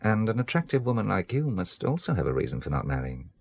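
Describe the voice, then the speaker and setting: A man's voice: lowish voice, big pitch swings, fast talker, gravelly, husky, no room sound, heavily acted. An actor playing a wry, secretive middle-aged businessman in a radio drama, dryly evasive and making light of things. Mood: tender, earnest.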